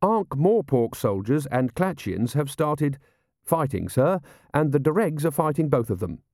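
A man's voice: fairly high pitch, very animated, measured pace, no room sound, clean studio sound, pitched above the performer's own voice. A man voicing an earnest, polite, eager young male guard captain in an audiobook. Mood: anxious, respectful. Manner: respectful, bright and earnest.

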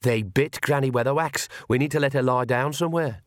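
A man's voice: mid-range voice, brisk, no room sound, studio quality, close to an everyday voice. A man playing a practical young witch woman in an audiobook, speaking in a direct, no-nonsense way. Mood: urgent, frank.

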